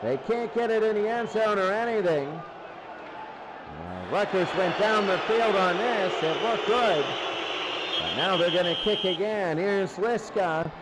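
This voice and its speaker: Male football play-by-play caller, high-pitched, excitable and repetitive, over a loud noisy background. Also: takes it slowly. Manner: excitable, short repetitive phrases. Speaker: play-by-play sports commentator. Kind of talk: American football radio-style commentary.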